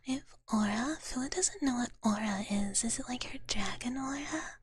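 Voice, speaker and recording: A woman whispering in a breathy, soft voice close to the microphone, chatting playfully and cutely with viewers on a live ASMR stream. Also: fairly high pitch, normal speed. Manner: playful, cutesy big-sister persona speaking of herself in third person.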